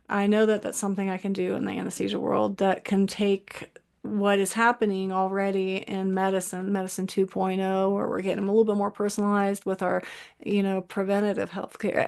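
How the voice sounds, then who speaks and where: Flat, gravelly-voiced woman doctor. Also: mid-range voice. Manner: halting, frequent restarts. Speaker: doctor, panel guest. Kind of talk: casual conversation.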